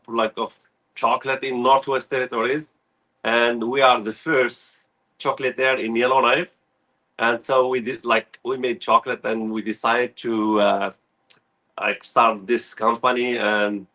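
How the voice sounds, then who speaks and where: A man's voice: medium pitch, sharp tone. A chocolatier from Morocco, a man, rambling with many "like" fillers. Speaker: chocolatier, guest. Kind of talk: casual live-stream introduction.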